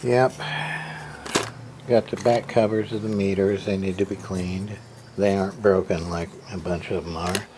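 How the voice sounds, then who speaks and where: A man with a lowish voice talks over clear background noise in an amateur recording. He speaks casually and very slowly, halting often as he shows equipment in a matter-of-fact, half-muttered way. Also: fairly even intonation. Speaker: hobbyist radio repairer, video host. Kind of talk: casual unscripted show-and-tell of equipment.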